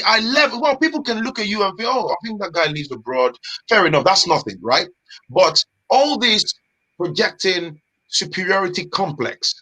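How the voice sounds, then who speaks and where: Animated man, bright voice. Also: on the high side, low-quality recording. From Nigeria. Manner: animated, emphatic, street slang. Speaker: guest. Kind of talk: casual chat.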